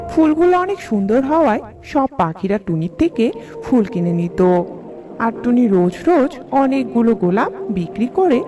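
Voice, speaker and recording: Female children's story narrator: animated melody, mid-pitched, music underneath. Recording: music behind the voice.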